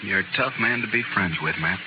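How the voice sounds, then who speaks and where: An actor playing a laconic, dangerous gunfighter in a 1950s radio western, with a terse, wryly sardonic delivery. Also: male voice, fairly low pitch, fast talker, close to an everyday voice. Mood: wry, low-key. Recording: muffled, very noisy old radio recording.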